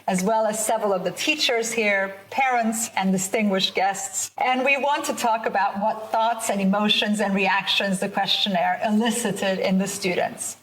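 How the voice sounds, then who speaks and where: A woman researcher presenting her work to a school audience and then moderating a student panel, in a measured, explanatory way. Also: mid-range voice, fairly even intonation, fairly bright tone, low-quality recording. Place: school event in front of students, teachers and guests.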